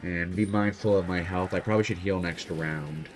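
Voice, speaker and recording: A soft-spoken young man casually commenting on his gameplay as host. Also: low-pitched, steady tone, moderate pace, soft background music. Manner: quiet, soft-spoken, laid-back. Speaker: gaming channel host.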